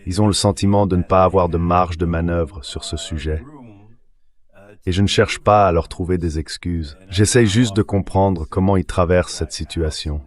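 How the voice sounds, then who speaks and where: A man's synthetic translation voice delivering measured, explanatory political commentary as an interview guest. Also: sits low, steady tone.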